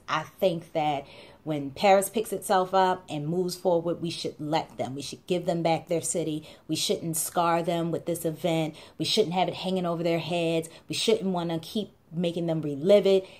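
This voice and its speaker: A woman's voice: lowish voice, a little mellow. Chatty woman vlogging to camera. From Baltimore, USA. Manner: chatty, playful, emphatic repetition. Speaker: blog co-host. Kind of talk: casual talking-to-camera vlog. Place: small indoor room.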